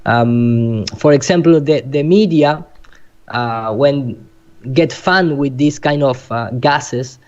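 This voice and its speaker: Guy, explaining stuff slowly in halting english, dull lo-fi call audio. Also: mid-range voice, darkish tone. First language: Spanish. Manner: halting, searching for words in non-native English. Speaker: presenter. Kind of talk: informal explanatory presentation.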